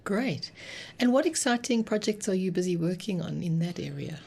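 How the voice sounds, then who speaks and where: A woman's voice: fairly low pitch, expressive, moderate pace, creaky, darkish tone, slightly rough. A woman hosting a podcast in a studio, reading a polished, welcoming introduction and then asking the guest questions. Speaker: podcast host. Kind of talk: scripted introduction and interview. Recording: music bed under the voice.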